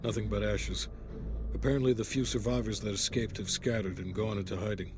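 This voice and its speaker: An actor playing a serious intelligence officer, giving a measured, matter-of-fact briefing in a game cutscene. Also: male voice, on the low side, fairly even intonation, lightly acted. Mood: sober. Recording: cinematic music behind.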